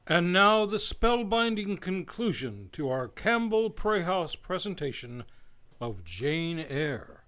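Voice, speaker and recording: A man's voice: high-pitched, sing-song, slow, a bit bright. A man announcing a radio drama programme in a theatrical, scripted way.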